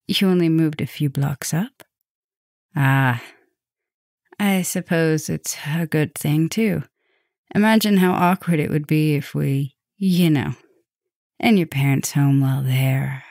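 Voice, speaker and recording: Woman acting as a slow, low-voiced flirty vampire professor. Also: no room sound, studio quality, exaggerated voice. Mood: teasing. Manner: soft, breathy, teasing, drifting into whispers. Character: flirtatious, teasing, caring female vampire professor, softly dominant. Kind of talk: intimate roleplay ASMR.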